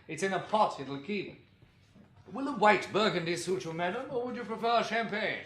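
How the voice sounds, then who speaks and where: An actor playing a practical, tough-minded British man surviving an apocalypse in a radio drama, with a wry, brisk manner. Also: sits high, fairly bright tone. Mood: quietly wry.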